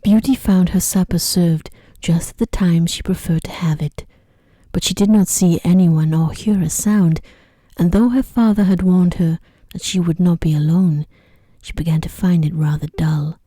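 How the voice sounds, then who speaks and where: Female ASMR storyteller: lowish, soft and whispery, very mellow, gentle soothing delivery, dry sound. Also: fairly even intonation, normal speed, no room sound. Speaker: narrator. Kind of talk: ASMR sleep story narration.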